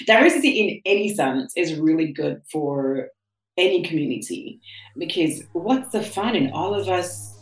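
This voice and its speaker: A woman with a slightly low voice gives her views firmly and with emphasis, and sometimes sounds amused. The recording is roomy and echoey. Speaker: guest. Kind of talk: opinionated conversation. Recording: roomy echo.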